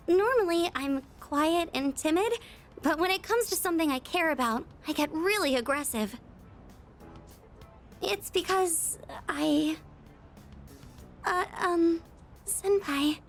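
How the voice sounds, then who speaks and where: A timid but excitable high-school girl from an anime-style game. The voice sits extremely high and halts and gushes in earnest. Soft music plays behind it. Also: full character voice. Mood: nervous, earnest. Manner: hesitant, halting, gushing.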